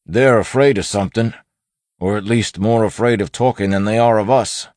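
Man voicing a curt constable, pitched up. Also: normal speed, a little mellow, no echo, natural voice. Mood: curt, uneasy. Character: male constable officer, put-upon and curt. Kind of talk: audiobook character acting.

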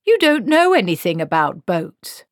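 A woman's voice: lowish voice, lively intonation, unhurried, darkish tone, husky, no echo, studio quality, exaggerated voice. A woman voicing a miserable, reluctant male wizard in a storybook, fussy, protesting and formally polite.

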